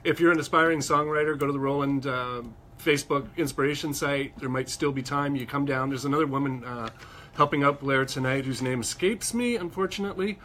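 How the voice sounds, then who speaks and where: A man's voice: mid-range voice, brisk, fairly bright tone, a little hiss or hum. A man co-hosting a music show, casually running through upcoming concerts in a friendly, informative way. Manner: friendly, informative, slight laughs and fillers. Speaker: co-host of a music news show. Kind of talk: casual chat, event listings.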